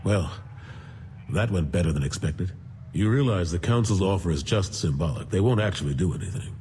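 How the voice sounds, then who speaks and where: Game role: loyal older soldier-statesman, low male voice, animated, blunt authority. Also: brisk, a little hiss or hum. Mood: frank. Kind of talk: video game cutscene acting.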